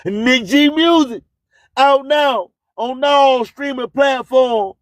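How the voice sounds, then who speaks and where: A man with a strikingly high voice hosts a motivational radio show. He delivers preachy slogans and rhyming wordplay in slow, deliberate phrases. The sound is dry and close, without room echo. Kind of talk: slogan-heavy motivational radio monologue with promos.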